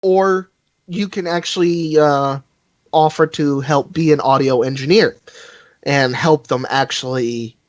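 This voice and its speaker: Chatty higher-voiced man, slightly muffled. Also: a little slow, no echo. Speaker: podcast panelist reviewing a fan audio drama. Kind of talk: casual podcast review chat.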